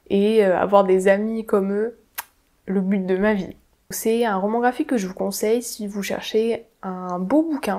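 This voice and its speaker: A young woman chats casually about books she has read, her voice mellow and relaxed. She talks to the camera at home, hedging and restarting with plenty of fillers. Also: medium pitch. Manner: chatty, self-deprecating, many fillers and restarts. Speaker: book vlogger. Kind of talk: casual book review talk. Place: home room, indoors.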